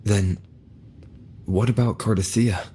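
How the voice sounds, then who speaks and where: A man playing a calm, earnest young wanderer in a video game, speaking in a measured, serious way as if reasoning things out. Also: lowish voice, breathy edge. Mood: pensive, serious.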